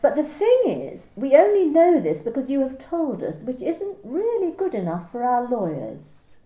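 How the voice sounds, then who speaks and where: An actress playing a smooth, coolly polite corporate manager who talks in bland, bureaucratic phrases. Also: female voice, high-pitched, huge pitch swings, moderate pace, mellow, reverberant, poor audio. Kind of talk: amateur radio play reading.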